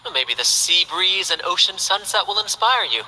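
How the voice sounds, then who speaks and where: Theatrical sea showman, playful, high bright voice, light hall reverb. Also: lively intonation, normal speed, heavily acted. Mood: showy. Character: flamboyant young male sea performer, carefree showman. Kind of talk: game character voice lines.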